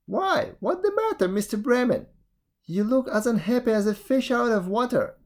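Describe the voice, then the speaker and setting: Narrator putting on a high, muddled jackal. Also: animated, moderate pace, darkish tone, lightly acted. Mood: puzzled. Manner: exclaiming, plaintive, scatterbrained. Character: sly jackal feigning muddled, whimpering confusion.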